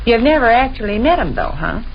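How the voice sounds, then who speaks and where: A woman's voice: a little high, sing-song, brisk. A woman playing a thrifty, plain-spoken, challenging American homebody wife in a 1930s radio comedy. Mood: skeptical, scolding. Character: Sade, thrifty, nagging, folksy homebody wife. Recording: dull, noisy 1930s radio recording.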